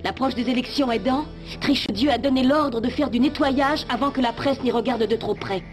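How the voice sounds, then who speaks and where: Lady doing a serious undercover informant, bit high, grave and measured, french cartoon, music behind. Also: close to an everyday voice. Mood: grave, urgent. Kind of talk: French animated series dubbing.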